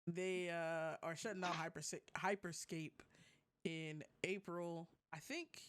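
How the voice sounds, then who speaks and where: A man hosting a casual podcast, informal and halting, swearing now and then. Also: fairly high pitch, steady tone, a bit bright. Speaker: podcast host steering topics. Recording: clear background noise on mic.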